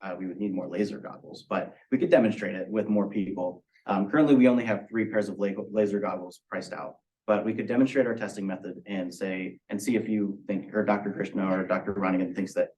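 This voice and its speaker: A young man with a slightly low voice speaks as a student in a project review. He gives measured, technical explanations in answer to questions. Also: darkish tone, poor audio. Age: young adult. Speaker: university student presenting a project.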